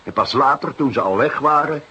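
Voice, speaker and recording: An actor playing a genial, shrewd middle-aged Dutch police inspector in a radio drama, with drawn-out interjections and an avuncular way of talking. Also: male voice, mid-range voice, animated, bright, forceful tone, husky, lo-fi sound, heavily acted. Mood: pensive. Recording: noisy, dated radio play recording.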